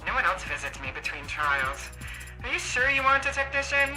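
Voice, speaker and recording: A woman voicing a brash, flamboyant, chatty female android court adjutant in a video game, loud and theatrical. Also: a little high, sing-song, normal speed, faint music behind, pitched above the performer's own voice, full character voice, poor audio. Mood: indignant, teasing. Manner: loud, theatrical, swooping, playful. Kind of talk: video game character voice acting.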